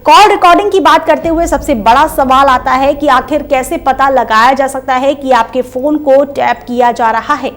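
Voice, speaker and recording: High-pitched woman narrating a news explainer. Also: steady tone, normal speed, few pauses, a bit bright.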